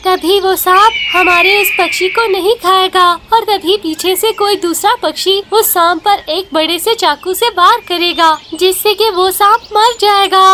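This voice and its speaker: A woman's voice: very high voice, noisy background. A woman voicing a brave, scolding mother bird in a children's cartoon, emphatic and full of exclamations. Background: music.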